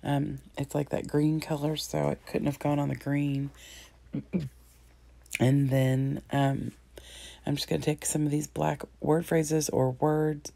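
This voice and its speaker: A woman in her thirties with a low, gravelly, hoarse voice walks through her scrapbook layout in a chatty, meandering way. Her tone is mellow and the sound is dry and close. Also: flat delivery, slow, dry recording. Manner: chatty, rambling, frequent ums and throat-clearing. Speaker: scrapbooking crafter. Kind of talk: casual craft tutorial narration.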